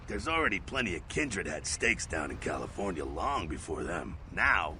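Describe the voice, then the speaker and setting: A gritty American vampire mentor from a video game. His voice is low and gravelly with a hard, forceful edge. He talks in salty slang, rambling wryly like a streetwise veteran. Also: a little hiss or hum, heavily acted. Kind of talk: video game character dialogue.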